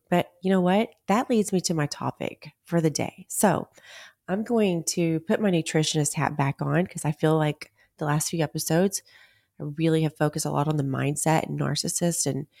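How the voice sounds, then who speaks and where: A middle-aged woman hosting a solo podcast, her voice raspy because she is nearly losing it. She talks bluntly and swears freely. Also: low voice, darkish tone, no echo, clean studio sound. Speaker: podcast host. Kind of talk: casual solo podcast talk.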